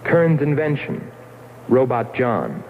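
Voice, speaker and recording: A man playing a haunted astronaut who narrates his voyage in a brooding, deliberate, dramatic 1960s film style. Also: mid-range voice, lively intonation, slight background noise. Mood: sober recounting. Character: haunted male astronaut recounting his voyage, wistful and obsessed. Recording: tinny old film soundtrack under loud music.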